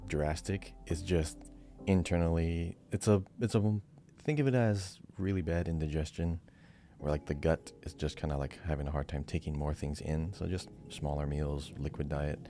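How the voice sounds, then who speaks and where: A man's voice: low-pitched, mellow. A man co-hosting a podcast in an informal, conversational, casual chat style. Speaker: podcast co-host.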